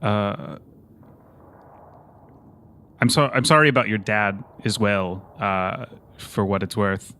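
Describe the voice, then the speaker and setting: A man's voice: lowish voice, slow pace, pauses often, bright, forceful tone. A man playing an awkward, tense, overly polite analytical character in a tabletop game, hesitant and formally worded. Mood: awkward, gentle. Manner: hesitant, stiff, formal wording.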